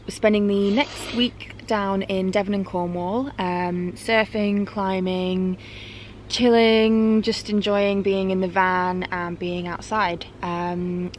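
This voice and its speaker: A woman's voice: on the low side, slight background noise. A young woman, vlogging casually in a camper van with lots of ums. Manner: relaxed, chatty with many ums. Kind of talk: casual vlog chat. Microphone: camera at arm's length.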